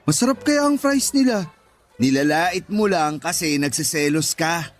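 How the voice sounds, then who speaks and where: Man acting a high-voiced teasing young best friend. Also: lively intonation, moderate pace, faint music behind, close to an everyday voice. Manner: joking, scolding, blunt. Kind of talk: Tagalog radio drama acting.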